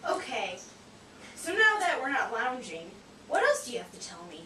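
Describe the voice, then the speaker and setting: A woman voicing a cheeky, naive, excitable puppet sidekick in comic scripted banter. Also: on the high side, normal speed, full character voice. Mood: curious, cheeky. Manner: playful, exclamatory, wisecracking. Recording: echoey, distant, noisy room.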